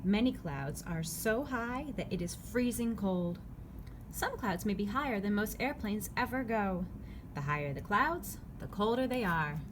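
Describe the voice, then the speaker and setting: A woman reading a children's picture book aloud, phrasing it clearly and simply for young listeners. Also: mid-range voice, expressive, a little slow. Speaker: read-aloud narrator. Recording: clearly noisy background ambience.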